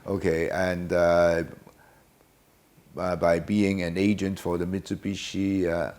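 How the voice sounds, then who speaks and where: An older man in a very deep voice. He answers unhurriedly and hesitantly, filling his pauses with many "uh"s. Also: little pitch movement, darkish tone. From Hong Kong. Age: about 70. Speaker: guest. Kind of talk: casual interview answers.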